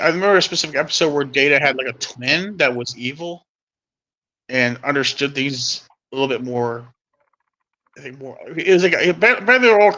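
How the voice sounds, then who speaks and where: A man's voice: mid-range voice, muffled recording. A man in his thirties chatting casually as a co-host, rambling and correcting himself as he goes. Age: 30s. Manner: rambling, self-correcting, excitable. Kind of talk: casual chat.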